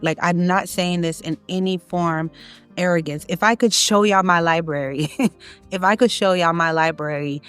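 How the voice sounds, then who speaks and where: A woman from Haiti giving an emphatic, opinionated casual monologue, her voice climbing when she presses a point. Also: clean tone. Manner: emphatic, rising in pitch when making a point. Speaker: online content creator.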